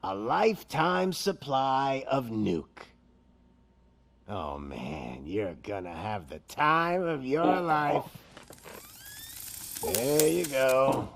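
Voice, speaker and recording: An actor playing a menacing gang leader who hijacks a broadcast, with a gravelly voice and a theatrical, taunting drawl. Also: male voice, mid-range voice, fairly bright tone, full character voice. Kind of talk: video game cutscene acting.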